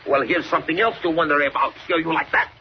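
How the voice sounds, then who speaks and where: Casting: man to play a rough, menacing guard in broken English for old-time radio adventure, on a dull recording. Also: on the high side, fairly even intonation, fast, heavy background noise, lightly acted. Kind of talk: 1940s radio acting.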